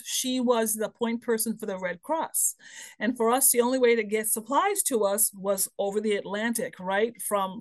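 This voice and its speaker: A woman from the Bahamas, a podcast guest telling personal stories in a lively way that keeps checking in with "right?" and "you know". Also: on the high side, dry recording, low-quality recording. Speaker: guest, human potential expert. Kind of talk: podcast interview, personal storytelling.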